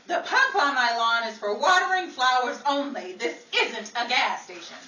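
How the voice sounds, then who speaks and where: A woman's voice: on the high side, expressive, moderate pace, bright, forceful tone. A woman playing a sassy, easily scandalized lady in a 1940s-style radio comedy, with theatrical, exclamatory delivery. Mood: indignant, startled. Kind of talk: 1940s old-time radio comedy acting. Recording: echoey, distant, noisy live room with crowd.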